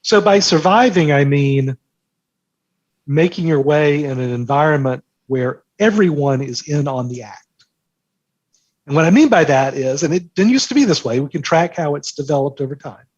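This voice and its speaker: A man's voice: a little high, animated, moderate pace, a little mellow, breathy edge, dry recording, muffled recording. A man talking as an interview guest, explaining things conversationally and emphatically, repeating phrases for effect. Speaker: interview guest, markets newsletter author.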